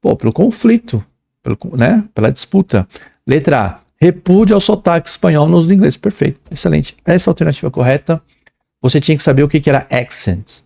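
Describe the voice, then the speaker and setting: A young man with a São Paulo accent talks students through an English exam in a loose, slangy way. His voice is gravelly and mellow. He reads English phrases aloud, then translates and jokes about them. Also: fairly high pitch, animated, fairly quick. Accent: São Paulo Portuguese. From São Paulo, Brazil. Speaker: English teacher. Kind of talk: exam-prep lesson.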